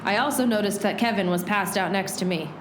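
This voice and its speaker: A woman's voice: mid-range voice, a little fast, echoey room, noisy background, close to an everyday voice. A woman playing a plucky, defiant dame in a noir radio play, with theatrical, melodramatic delivery. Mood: recounting.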